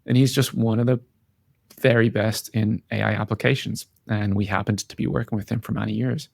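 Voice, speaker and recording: A man's voice: lowish voice, flat delivery, fairly quick, creaky, darkish tone, no echo. A man giving earnest, reflective and emphatic answers as a guest in a conversational interview. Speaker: interview guest, tech company founder and CEO.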